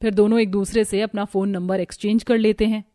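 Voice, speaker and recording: A woman's voice: medium pitch, level tone, a little fast, mellow, dry recording, studio quality. A woman narrating a scripted cartoon family story.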